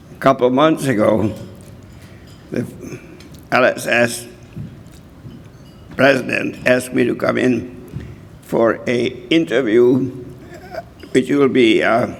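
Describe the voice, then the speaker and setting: Slow, gravelly man over ninety. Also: mid-range voice, slight background noise. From Hungary. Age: 90s. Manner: slow, halting, frequent throat clearing. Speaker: award recipient. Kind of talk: acceptance speech. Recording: reverberant hall.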